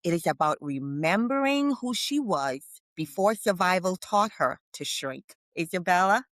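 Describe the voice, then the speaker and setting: Role: woman podcast host, probing. Room: dry. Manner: gentle, laughs often. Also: fairly low pitch, lively intonation, moderate pace, dry recording. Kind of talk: interview host.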